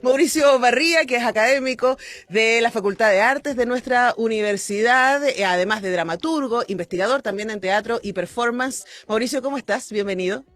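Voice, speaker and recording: A woman's voice: fairly high pitch, fairly quick, few pauses, fairly bright tone, dry recording. A middle-aged woman with a Chilean accent hosting a live radio interview. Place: radio broadcast.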